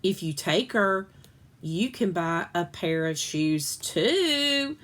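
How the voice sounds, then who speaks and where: A woman's voice: lowish voice, expressive, takes it slowly, a bit bright. A woman voicing a reluctant father in a children's story, coaxing and bargaining.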